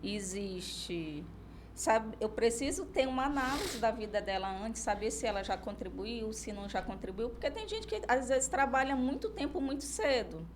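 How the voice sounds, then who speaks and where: Brazilian woman, slightly high-pitched, informal expert lawyer on a podcast, illustrating points with imagined cases, light background noise. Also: animated, normal speed. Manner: explains through hypothetical examples and imagined client dialogue. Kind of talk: informal explanatory podcast discussion.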